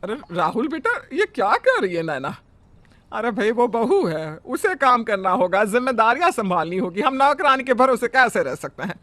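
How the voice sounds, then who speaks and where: A man's voice: very high voice, sing-song, normal speed, fairly bright tone, no room sound, exaggerated voice. A man voicing a fussy, bossy middle-aged mother-in-law who scolds and complains. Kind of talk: Hindi family drama voice acting.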